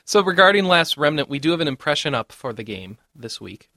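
A man's voice: fairly high pitch, big pitch swings, fairly quick, sharp tone, no echo, clean studio sound. A man hosting a casual panel chat about video games, teasing and sarcastic. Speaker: podcast host.

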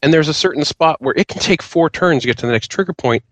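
A man chatting casually on a podcast panel about a video game, recounting things in an animated way and acting out his own reactions. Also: fairly high pitch, fast talker, no echo.

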